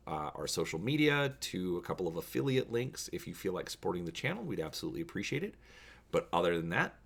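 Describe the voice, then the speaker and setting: A man's voice: low voice, creaky, a bit bright. A man hosting a story read-along, addressing viewers directly in a casual, emphatic way and reading narration aloud. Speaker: host reading a choose-your-own-adventure story.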